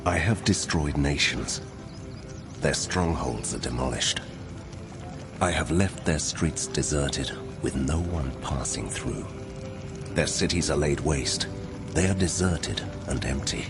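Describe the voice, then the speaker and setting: An actor voicing God as a solemn, commanding divine judge in a dramatized scripture reading, speaking in measured declarations. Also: male voice, very deep voice, animated, music in the background, heavily acted. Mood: stern, wrathful. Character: God, a wrathful yet restoring male divine judge.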